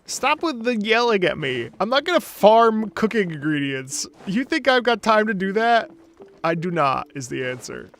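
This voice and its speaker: Sweary, blunt game streamer with a creaky voice. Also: mid-range voice, very animated, moderate pace, fairly bright tone. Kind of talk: casual livestream gameplay chat. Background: video game music.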